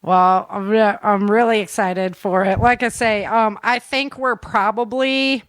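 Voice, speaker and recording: Clear-voiced woman hosting a podcast, upbeat. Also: medium pitch, steady tone, unhurried, no room sound. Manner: upbeat, informal. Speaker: podcast host. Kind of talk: casual chat and sign-off.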